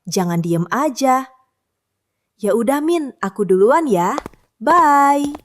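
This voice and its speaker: A bossy, well-off neighbor lady talks high and slow, her voice swinging up and down as she nags, played by a woman. She comes across as pushy and sure of herself in this animated drama. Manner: bossy, nagging.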